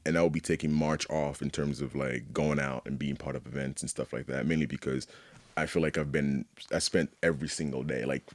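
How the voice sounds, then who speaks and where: A man from Haiti telling personal stories as an interview guest in a casual, candid, animated way, often checking in with "you know". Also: very deep voice, brisk. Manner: animated, repeats "you know what I mean". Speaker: podcast guest, artist.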